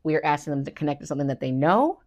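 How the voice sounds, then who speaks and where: A woman's voice: low voice, lively intonation, racing, darkish tone. A middle-aged woman, a reading tutor, explaining a teaching routine to parents and teachers and acting out her exchanges with students. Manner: encouraging, acts out student exchanges. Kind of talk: instructional talk to parents and teachers.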